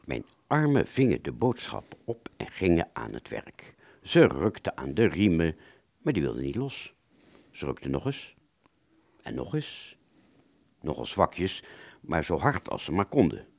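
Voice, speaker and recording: Deep, gravelly, dramatic male storyteller. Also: wildly swooping pitch, no echo. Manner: hesitant, conversational, with drawn-out words and sudden emphasis. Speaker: storyteller in an audio play. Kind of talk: dramatic first-person narration.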